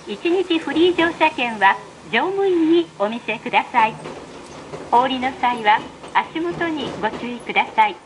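A woman's voice: high-pitched, low-quality recording. A woman reading a scripted train announcement in a formal, evenly enunciated way, over the carriage speakers. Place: played over speakers inside a moving train. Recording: train PA with clear running noise. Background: train running noise.

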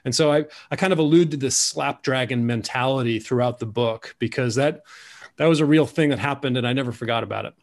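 Animated man telling stories on video call. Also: mid-range voice, a little fast. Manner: animated, gesturing, many restarts. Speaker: guest author. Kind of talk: video call conversation, storytelling. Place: room at home.

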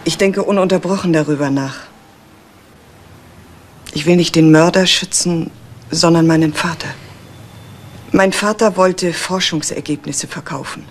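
An actress playing an earnest, anxious adult daughter in a 1980s German crime radio drama, explaining things hesitantly and insistently. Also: female voice, on the low side, a little slow, long pauses. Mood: troubled, earnest. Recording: faintly noisy old radio recording.

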